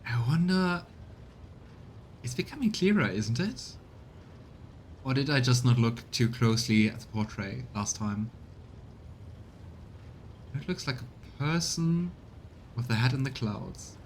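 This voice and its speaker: Male streamer, mid-range, expressive let's play narration and commentary, faint low rumble. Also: a little slow, pauses often. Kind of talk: voiced let's play, reading aloud with reactions. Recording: low rumbling background noise.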